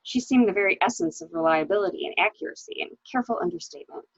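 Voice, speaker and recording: A woman's voice: medium pitch, rapid-fire, breathy edge, no echo, natural voice. A woman in a dramatic mystery reading, playing an anxious, hesitant widow, on a dull home recording. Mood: earnest, bitter. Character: anxious, hesitant new widow recounting her husband's misdeeds. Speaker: library staff reader.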